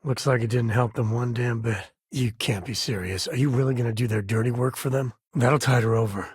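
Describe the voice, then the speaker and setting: A rugged explorer from the space frontier for a video game. His voice is very husky and sits at an ordinary male pitch. He talks in a folksy, wry, plain-spoken way, recorded close and dry. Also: steady tone, brisk, a little mellow, no room sound. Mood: bitter, indignant. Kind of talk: game character dialogue.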